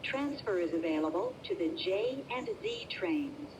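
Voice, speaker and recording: A woman reading scripted transit announcements as a train announcer, in a measured, formal manner with segmented phrasing. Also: on the high side, big pitch swings, background noise.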